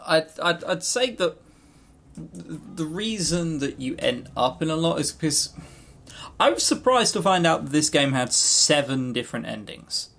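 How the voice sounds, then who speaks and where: Man, podcast co-host, highish voice, animated swooping intonation, informal halting chat. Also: vocal fry. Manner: halting, informal, repeats words. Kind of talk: casual chat.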